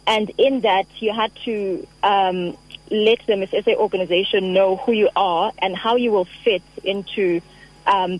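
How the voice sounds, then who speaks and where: A young South African woman in her twenties, answering interview questions at a measured pace with many um fillers. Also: fairly low pitch, low-quality recording. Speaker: guest. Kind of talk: phone interview. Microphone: phone line.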